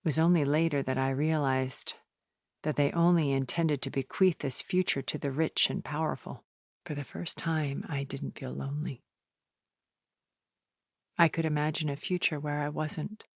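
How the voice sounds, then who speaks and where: A woman playing a wealthy, cunning, ancient art connoisseur in a video game, speaking in a refined, measured, articulate way with a wistful temper. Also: sits low, fairly even intonation, no echo, studio quality. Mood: wistful, confiding. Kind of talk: video game character dialogue.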